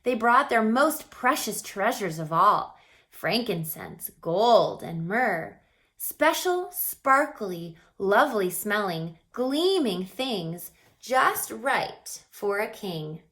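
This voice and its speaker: A woman reading a children's story aloud with dramatic expression, stretching words and dropping into hushed passages. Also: mid-range voice, big pitch swings, takes it slowly. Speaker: storybook reader.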